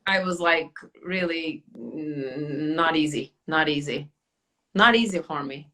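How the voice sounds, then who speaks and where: A woman's voice: low voice, slow pace, sharp tone. A woman from Turkey with a Turkish accent, hosting a casual podcast chat and laughing often. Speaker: academy owner and podcast host.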